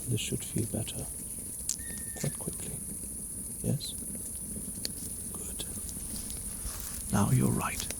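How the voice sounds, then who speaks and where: A man playing a gentle, caring mage in an ASMR fantasy roleplay, speaking softly and soothingly and often dropping toward a whisper. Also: medium pitch, steady tone, slow pace, creaky, long pauses, background noise. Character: gentle, caring male mage healing a weary traveler. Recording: faint nighttime cricket ambience.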